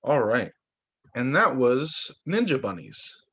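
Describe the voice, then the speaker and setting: A man's voice: medium pitch, sing-song, slow pace, fairly bright tone, breathy edge. A young man, a teacher, reading picture books aloud to children over a video call and breaking in with little asides and exclamations. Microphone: computer mic on a video call.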